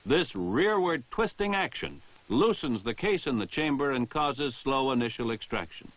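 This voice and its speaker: An American man narrates in the formal, instructional style of a 1960 military training film. His medium-pitched voice moves with lively inflection and careful, precise enunciation. The sound is a slightly dull old film track with very little room in it. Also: moderate pace.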